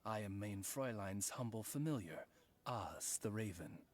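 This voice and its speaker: An actor voicing a polite, erudite raven servant in a video game, speaking in formal, measured phrases. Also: male voice, lowish voice, lively intonation. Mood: courteous, composed. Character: courteous, erudite male talking raven, loyal servant.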